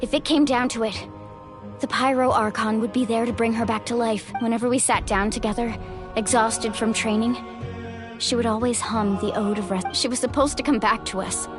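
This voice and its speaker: A woman's voice: fairly high pitch, fairly even intonation, moderate pace, natural voice. A woman playing a passionate, outspoken young warrior in a video game, earnest and insistent. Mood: grieving. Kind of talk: dramatic video game voice acting. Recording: music behind the voice.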